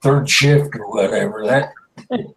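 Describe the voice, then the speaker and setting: A man's voice: on the low side, unhurried, gravelly, slight background noise. A man in his late fifties on a casual online video hangout, talking in a laid-back, wry way. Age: 50s.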